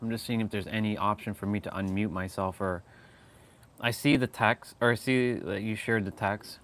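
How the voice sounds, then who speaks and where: A man's voice: fairly low pitch, steady tone, moderate pace, a bit bright. A man hosting a casual livestream, rambling with many restarts and fillers and laughing often. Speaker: livestream host.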